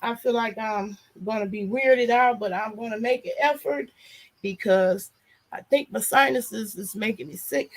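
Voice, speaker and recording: A hoarse, nasal woman vlogger chatting casually and frankly to her viewers in a loose, rambling way. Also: a little high, a little slow, poor audio. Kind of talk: casual chat to a live audience.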